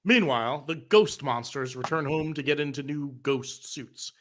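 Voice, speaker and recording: A man's voice: fairly high pitch, normal speed, slight background noise. A man reading scripted narration as narrator of a comedic live table read.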